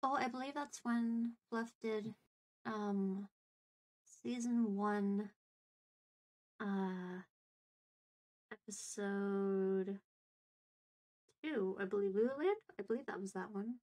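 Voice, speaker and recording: A woman's voice: mid-range voice, slow pace, pauses often, darkish tone. Woman chatting casually, choosing words carefully. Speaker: streamer and co-host answering viewer questions. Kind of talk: casual livestream chat.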